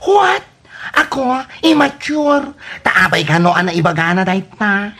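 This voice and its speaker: A woman's voice: medium pitch, animated, measured pace, fairly bright tone, husky. A woman playing a brash, teasing, gossipy friend in an Ilocano comedy radio drama, with an Ilocano accent. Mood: indignant.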